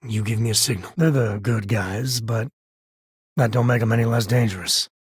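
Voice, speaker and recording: Casting: easygoing space-western ranger dad, video game. Husky, folksy, wry, mid-pitched, close dry recording. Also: male voice, normal speed, no echo. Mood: alert, coaxing. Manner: folksy, wry, casual drawl of phrasing. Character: easygoing male space-western ranger and devoted single father. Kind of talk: video game voice lines, conversational.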